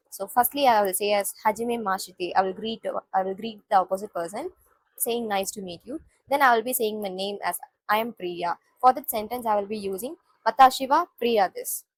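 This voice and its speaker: A woman's voice: fairly high pitch, breathy edge, no room sound, poor audio. A woman from India, teaching a beginner Japanese lesson, repeating each phrase and explaining word by word. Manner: repetitive, explains each word slowly with many "so" restarts.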